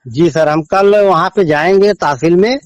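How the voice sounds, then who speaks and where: A man with an eastern Uttar Pradesh accent, a villager making a complaint over a recorded phone call, speaking haltingly and respectfully. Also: sits high, no room sound. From Azamgarh region, India. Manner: deferential, halting. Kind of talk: answering questions in a phone call.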